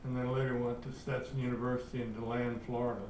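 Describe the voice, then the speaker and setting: An older man from North Georgia recalling his past in a measured, deliberate way during an oral history interview. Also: mid-range voice. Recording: echoey room, distant mic, steady hiss.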